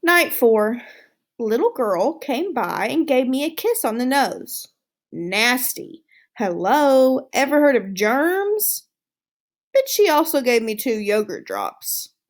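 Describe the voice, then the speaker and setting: Storybook hamster, played by a woman: cocky, easily disgusted, exclamatory, with swooping intonation and slow delivery. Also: high-pitched, very animated, exaggerated voice. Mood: smug, disgusted. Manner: boastful, self-satisfied, exclamatory. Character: smug, excitable, easily disgusted male pet hamster. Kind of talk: storybook read-aloud, diary narration.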